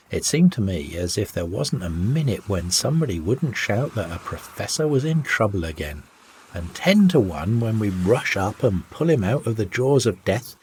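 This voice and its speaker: A man reading an old sea yarn aloud as a narrator, in an expressive, old-fashioned raconteur's delivery. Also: on the low side, a little hiss or hum. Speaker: audiobook narrator.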